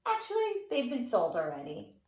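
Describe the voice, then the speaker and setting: An excitable junk shop man from a children's storybook, played by a woman, full of wonder and given to dramatic exclamations. The pitch is fairly high and swings widely, in a roomy echo. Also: normal speed. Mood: hesitant. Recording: roomy, echoing room sound.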